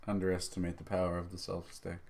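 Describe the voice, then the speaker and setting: A man's voice: deep voice, completely flat delivery, quick pace, gravelly, a little mellow. A man co-hosting a podcast, chatting casually in slang and swearing freely. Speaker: podcast co-host.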